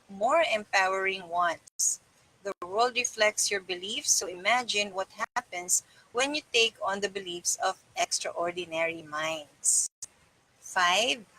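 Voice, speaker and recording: A woman's voice: medium pitch, pushed and bright, low-quality recording. A middle-aged woman reading a self-help book aloud in a small room indoors. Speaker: reader.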